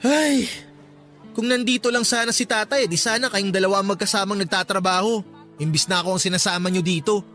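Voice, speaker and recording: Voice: mid-range voice, a little fast, fairly bright tone, soft background music, lightly acted. An actor playing a resentful, whining young son who talks back to his mother in a Tagalog radio drama. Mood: resentful, accusing. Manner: complaining, talks back, sulky.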